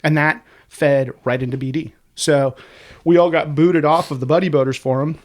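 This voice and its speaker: A man in his forties with a congested, sniffly voice, telling stories in a casual, profane podcast chat as a guest. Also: a little high. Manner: fast, profane, animated storyteller. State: congested, sniffling from a sinus infection. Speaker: guest, website co-creator.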